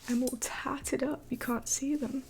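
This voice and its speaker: A young woman in her twenties rambling through a late-night livestream Q&A, her mellow voice slipping in and out of a hushed whisper. She talks in a gravelly fry, a little higher than most, with faint noise behind her. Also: fairly even intonation, unhurried. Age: 20s. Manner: rambling, many fillers, often drops to a whisper. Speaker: livestream host. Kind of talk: late-night live Q&A chat.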